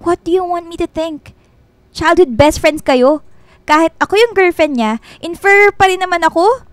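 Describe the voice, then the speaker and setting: Radio drama girlfriend: young, insecure, very high girlish voice, expressive. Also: normal speed, no room sound. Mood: hurt, jealous.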